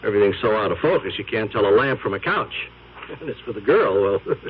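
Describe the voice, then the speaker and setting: An actor playing a brash, wisecracking photographer in a 1970s radio drama, talking fast with slang. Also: male voice, fairly low pitch, expressive, a little hiss or hum, lightly acted. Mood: puzzled. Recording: dull old radio broadcast.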